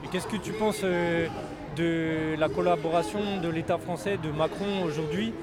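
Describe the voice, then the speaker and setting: A man's voice: a little high, steady tone, moderate pace, over loud music. A male radio interviewer asking long, rambling questions full of fillers at a street demonstration. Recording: loud crowd noise at a street protest. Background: loud protest crowd.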